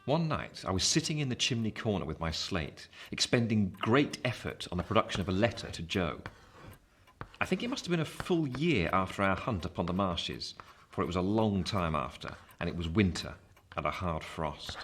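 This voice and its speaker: A man's voice: deep voice, big pitch swings, moderate pace, a bit bright. An actor narrating a radio play as a grown man soberly recalling his boyhood. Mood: reflective, dramatic. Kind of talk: scripted first-person narration in a radio play. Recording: noisy background ambience.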